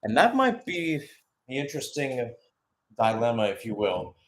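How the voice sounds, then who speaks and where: A man gives live soccer play-by-play in a radio style, with a mid-pitched voice. He narrates in short, clipped phrases as the commentator following the match. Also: big pitch swings, moderate pace.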